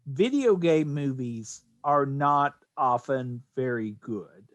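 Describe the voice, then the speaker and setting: A man's voice: mid-range voice, animated, takes it slowly, no room sound. A man co-hosting a podcast, casually discussing films, posing questions and making considered points. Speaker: podcast co-host.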